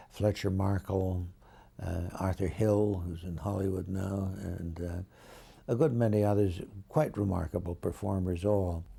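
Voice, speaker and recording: An older man giving a reflective interview, speaking in a measured, articulate, admiring way as he reminisces. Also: low voice, gravelly, warm tone, slightly rough. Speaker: interviewee recalling radio drama history.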